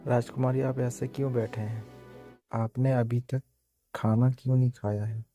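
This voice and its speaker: A man's voice: medium pitch, level tone, normal speed, warm tone, faint music behind, lightly acted. A man playing a humble, practical beggar husband in a cartoon fairy tale, plain and respectful. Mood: concerned.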